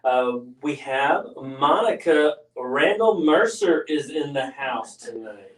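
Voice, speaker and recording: A man's voice: fairly high pitch, expressive, slow pace. A man co-hosting, reading out viewer comments and joking in a goofy, self-deprecating way. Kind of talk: playful banter. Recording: roomy sound from a distance.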